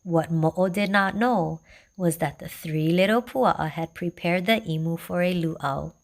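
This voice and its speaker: A woman storyteller reads a children's tale aloud. She takes her time, keeping an unhurried, gentle rhythm. Her voice sits a little low for a woman. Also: vocal fry. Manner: slow, gentle, even reading.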